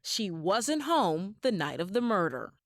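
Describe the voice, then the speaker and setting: Woman, American accent, TV news reporter, scripted narration, medium pitch, lively swooping delivery. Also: a little slow, dry recording, clean studio sound.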